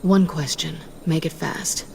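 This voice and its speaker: An actress voicing a guarded, wry thief for hire in a video game drama, speaking in a businesslike way. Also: female voice, sits low, fairly even intonation, unhurried, vocal fry, darkish tone, heavily acted. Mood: terse. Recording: faint background noise.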